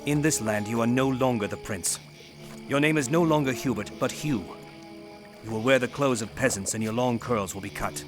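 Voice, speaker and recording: This is a man acting a stern knight and guardian in an audio drama. He speaks formally in a mid-pitched voice, giving terse commands over a music bed. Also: moderate pace, lightly acted. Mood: grave, stern.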